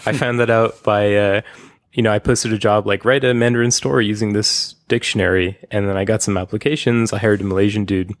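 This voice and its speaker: Candid man, low dry voice. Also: fairly quick, no room sound. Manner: relaxed, candid, with laughs and asides. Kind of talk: casual chat.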